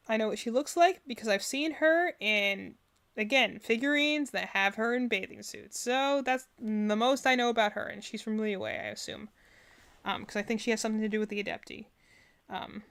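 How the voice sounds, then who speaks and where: A woman's voice: a little high, vocal fry, fairly bright tone. A woman streamer chatting casually and thinking aloud as she gives rambling, opinionated reactions. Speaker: streamer reacting to game character trailers.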